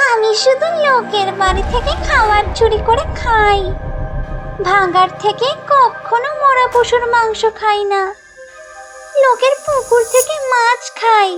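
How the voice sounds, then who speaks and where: A woman voicing a greedy female ghost who steals food, in a shrill, squealing voice. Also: slow pace, long pauses, over music.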